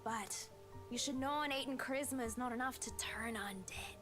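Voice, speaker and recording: A woman's voice: a little high, moderate pace, over music, natural voice. A woman voicing a vengeful, theatrical ghost woman in a horror game, mocking and accusatory.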